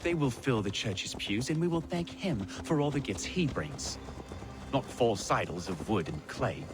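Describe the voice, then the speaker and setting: Actor as sardonic, pious young man, animated. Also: mid-range voice, a little slow, over music, close to an everyday voice. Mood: sanctimonious. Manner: sardonic, sanctimonious. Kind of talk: video game cutscene acting.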